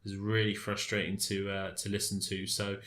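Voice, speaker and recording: A man's voice: fairly low pitch, monotone, brisk, a bit bright, roomy sound. A man with a stuffy, congested voice from a cold, casually commenting on his game with many fillers and restarts. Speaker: gaming commentator.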